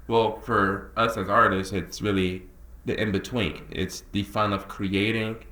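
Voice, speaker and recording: A man of nineteen talks casually and earnestly to camera as a creative director, drawling some words and rushing others. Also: lowish voice, little pitch movement, pushed and bright. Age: young adult. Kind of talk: casual talking-head vlog. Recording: echoey room.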